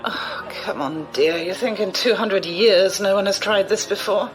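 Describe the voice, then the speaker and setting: A woman playing a shrewd, imperious old noblewoman in an audio drama, measured, condescending and amused. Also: mid-range voice, soft background music, close to an everyday voice, rough recording.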